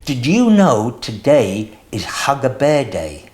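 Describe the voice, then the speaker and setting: A storyteller reading a children's picture book aloud in an expressive, deliberate way as host. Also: mid-range voice, slight background noise.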